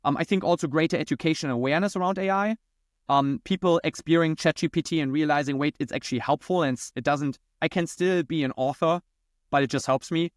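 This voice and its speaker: Higher-voiced man with a German accent. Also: fast talker, no room sound, studio quality. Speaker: podcast guest, company founder and CEO. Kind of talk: conversational interview answers.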